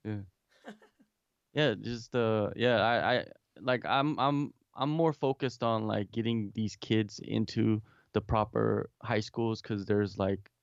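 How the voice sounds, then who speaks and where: A man's voice: on the low side, steady tone, slow, gravelly, smooth, dry recording, dull audio. A man talking from home in a casual remote interview, rambling with many restarts and fillers. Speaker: guest, MMA interviewer and English teacher.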